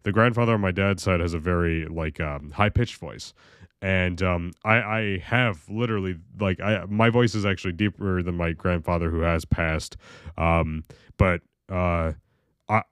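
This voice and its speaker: A young American man with a very deep voice, rambling through a casual vlog monologue full of fillers, restarts and swearing. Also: normal speed, fairly bright tone, clean tone, no echo, clean studio sound. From United States. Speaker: video creator.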